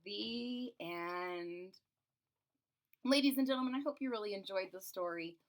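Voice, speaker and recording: A woman with a mid-range voice reads a children's picture book aloud. She draws out phrases expressively for emphasis. Now and then she steps out of the text to explain things to her listeners as host. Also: mid-range voice. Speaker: storybook reader and channel host.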